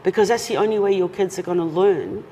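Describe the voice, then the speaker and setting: A woman's voice: mid-range voice, fast talker, warm tone. A woman guest talking earnestly and carefully in an interview. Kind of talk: casual interview talk. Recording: long reverb, faint noise.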